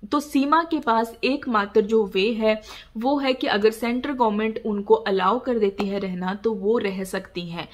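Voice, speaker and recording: A woman with an Indian accent giving an informal explanatory talk as a legal educator, mixing in English terms. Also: medium pitch.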